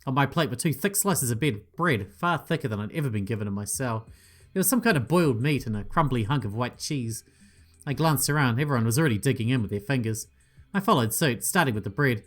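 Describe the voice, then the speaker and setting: A man's voice: mid-range voice, animated, fast, fairly bright tone. Playful man reading a visual novel aloud. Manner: playful, swearing asides, voices each character. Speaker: gaming channel host.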